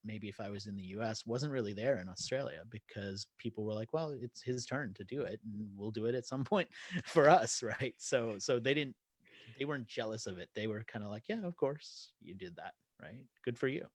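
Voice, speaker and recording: A man in a casual interview, sharing anecdotes conversationally. His voice sits at an average male pitch, and the sound is dry with very little room in it. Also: slightly rough, no room sound. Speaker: interview guest, author and speaker.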